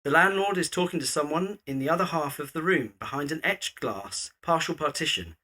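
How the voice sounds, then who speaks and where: A man's voice: fairly high pitch, animated, brisk, pushed and bright. A man reading scripted scene-setting narration for an audio drama. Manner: descriptive, present-tense stage directions.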